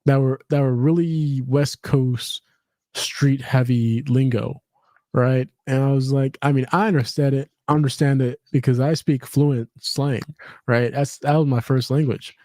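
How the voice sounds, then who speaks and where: A man's voice: medium pitch, steady tone, unhurried, dark tone, no echo, clean studio sound. A man hosting a casual podcast chat, rambling with lots of fillers and restarts. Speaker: podcast host.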